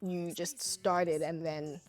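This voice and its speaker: A woman's voice: on the low side, level tone, slow pace, background noise. A young woman co-hosting a podcast, laid-back and joking, who explains what the show is about. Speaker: podcast co-host. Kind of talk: casual podcast chat.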